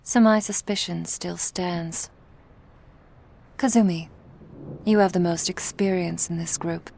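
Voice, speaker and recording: A woman playing a cool, menacing female vampire crime boss in an ASMR roleplay, speaking in a measured, authoritative way. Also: on the low side, steady tone, vocal fry, darkish tone, heavily acted. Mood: coolly suspicious.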